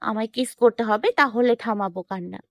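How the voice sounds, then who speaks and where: A woman's voice: a little high, moderate pace, no echo, close to an everyday voice. A woman playing a lovesick, clingy young woman with a pleading, childlike insistence in an audio love story. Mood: coaxing.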